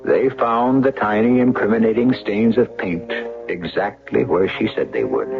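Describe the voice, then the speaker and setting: A man hosting a radio mystery drama with deliberate, wry scripted narration in a gravelly voice. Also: on the low side. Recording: tinny, band-limited old radio recording.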